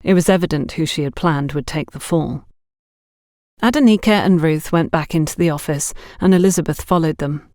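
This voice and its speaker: A woman narrates a scripted mystery-style story in a fairly low voice. The sound is dry and close, with no room around it, and the delivery is that of an audiobook reader. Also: normal speed, mellow, no echo.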